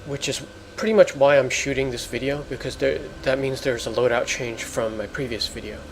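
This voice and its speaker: Man doing gravelly, halting game commentary. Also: mid-range voice, background noise. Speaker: gaming video host. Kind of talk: let's play commentary.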